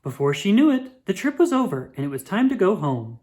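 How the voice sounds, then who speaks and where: A woman reading a children's picture book aloud with expressive, drawn-out emphasis. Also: mid-range voice. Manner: animated, dramatic emphasis with drawn-out words.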